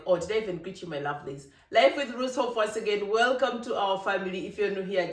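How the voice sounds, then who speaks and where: A vlogger from Africa, giving an emphatic, animated opinion monologue with laughing asides. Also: mid-range voice. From East Africa. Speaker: vlogger, channel host. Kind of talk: casual opinion monologue to camera. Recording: roomy, distant from the mic.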